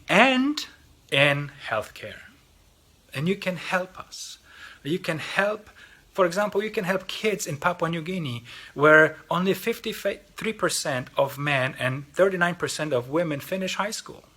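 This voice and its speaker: A man with a Polish accent makes an earnest appeal to a parish audience, raising support for missionary work. His voice is bright and a little husky, pitched slightly high for a man. He repeats words and restarts phrases as he goes. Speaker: representative of a lay missionary group. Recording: video message.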